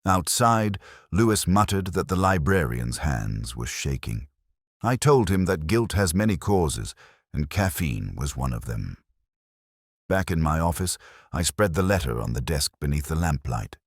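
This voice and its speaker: Man voicing world-weary detective inspector, extremely low pitch, dry close sound, brooding measured mystery narration, audio drama. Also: big pitch swings, no room sound, full character voice. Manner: measured, literary, brooding delivery. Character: melancholy, world-weary older British detective inspector narrating his case.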